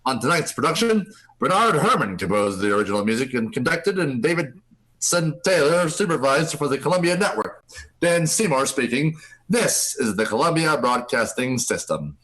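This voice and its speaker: A man playing a formal 1930s radio announcer, reading sign-offs in a measured, declamatory way. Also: medium pitch, big pitch swings, a bit bright, poor audio. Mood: formal, polished. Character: formal old-time radio announcer signing off a drama broadcast.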